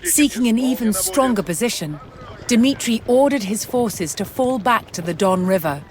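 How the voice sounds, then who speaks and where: A narrator delivering scripted, documentary-style storytelling for a game in a measured, dramatic manner. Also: mid-range voice, animated, a crowd in the background. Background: music.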